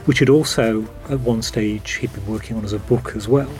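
A man's voice: lowish voice, steady tone, brisk, a little mellow, faint music behind. A man giving a behind-the-scenes interview account as a producer. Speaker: audio drama producer.

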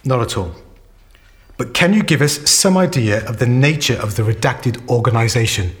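Audio drama actor: male counsel at a public inquiry, formal and probing, average pitch, measured questioning. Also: lively intonation, lightly acted. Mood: polite, probing.

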